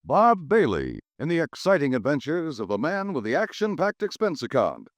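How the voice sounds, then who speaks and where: A male announcer with a dramatic, booming delivery, his pitch riding high and swinging widely as he introduces the show. The sound is dry and close, classic 1950s radio. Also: wildly swooping pitch, moderate pace, no room sound.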